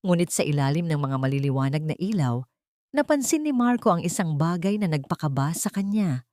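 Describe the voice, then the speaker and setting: A woman tells a scripted drama with solemn, deliberate delivery. Her voice sits on the low side, and the recording is very dry, with no room sound around it. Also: lively intonation, mellow, dry recording. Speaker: audio drama narrator. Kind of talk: dramatic scripted story narration.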